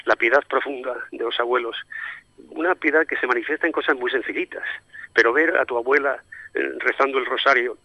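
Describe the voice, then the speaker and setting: A man's voice: medium pitch, fairly even intonation, fairly quick, no echo. A middle-aged man from Spain with a Spanish accent, a priest answering interview questions reflectively, often hesitating and repeating as he searches for words. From Spain, raised in Madrid, born in Santomera, Murcia. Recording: dull, lo-fi phone-like link.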